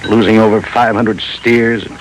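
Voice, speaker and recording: Man acting a weary rancher, low voice, noisy old radio. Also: little pitch movement, moderate pace, lightly acted. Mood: sheepish, shaken. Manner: hesitant, plain-spoken, weary. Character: worn-down, defensive, apologetic cattle rancher facing ruin. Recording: noisy vintage radio drama recording.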